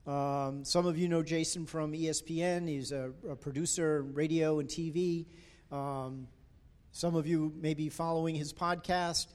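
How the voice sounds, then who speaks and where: Halting, higher-voiced pastor introducing a guest. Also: male voice, fairly even intonation, darkish tone. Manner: halting, full of ums and uhs. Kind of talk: church introduction. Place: church sanctuary.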